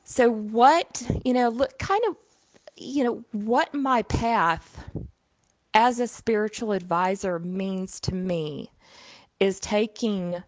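A middle-aged woman with a Southern US twang talks casually and folksily, often dropping in "you know." Her pitch sits in a middling range. The recording is dry and a little dull, with no room sound. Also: takes it slowly, no room sound. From southern United States. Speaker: podcast host, psychic medium. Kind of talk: casual solo monologue.

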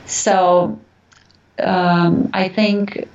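A woman's voice: lowish voice, level tone, very slow, darkish tone, slight background noise. A woman from Romania giving earnest, reflective answers as an interview guest, often searching for her words, in an echoey, distant recording. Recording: echoey, distant, dull sound.

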